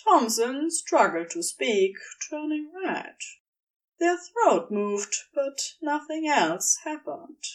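A woman's voice: sits high, very animated, slow pace, far from the mic, poor audio. A woman reading fiction aloud as a scripted story narrator. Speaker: audiobook narrator.

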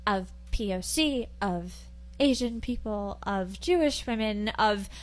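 A woman's voice: medium pitch, lively intonation, slow. A woman co-hosting a podcast, chatting casually with lots of fillers. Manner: chatty, rambling with many fillers. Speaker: podcast co-host. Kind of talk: casual chat.

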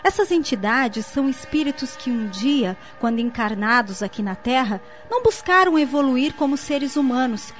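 High-voiced earnest young niece played by an actress. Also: female voice, expressive, normal speed, few pauses. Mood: earnest, explanatory. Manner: didactic, articulate, earnest. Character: young niece explaining spirits earnestly to her aunt. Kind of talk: radio soap opera acting. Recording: muffled lo-fi audio with faint noise.

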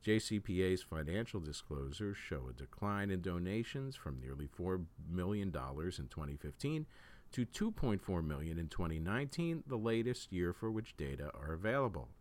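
Deep-voiced man reading news with lively intonation. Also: moderate pace. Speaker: news reader, host. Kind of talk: scripted news article read aloud.

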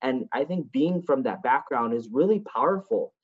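A man's voice: mid-range voice, lively intonation, moderate pace, husky. A man from Hawaii, a state representative and former diplomat, answering interview questions in an earnest, upbeat way. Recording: dull lo-fi audio.

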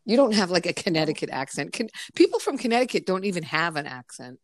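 Woman on a podcast, low-ish voice, swears a lot, dry close sound. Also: sing-song, fast, no room sound. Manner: blunt, swearing, chatty storyteller. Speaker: podcast host. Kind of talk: casual podcast chat.

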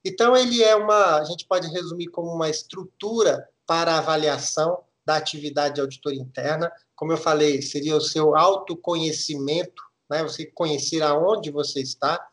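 Man, mineiro Brazilian accent, middle-aged, measured explanatory tone, fairly high voice. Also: fairly even intonation, fairly bright tone. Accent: Minas Gerais Brazilian Portuguese. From Minas Gerais, Brazil. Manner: measured, hesitant restarts, explanatory. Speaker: guest auditor. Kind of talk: explanatory podcast interview.

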